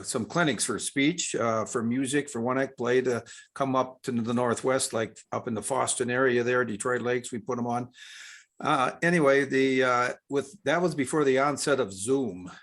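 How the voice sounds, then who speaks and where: A man's voice: mid-range voice, a bit bright. An American man raising a question in an informal meeting, talking in a rambling, folksy way. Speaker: regional secretary and officials coordinator.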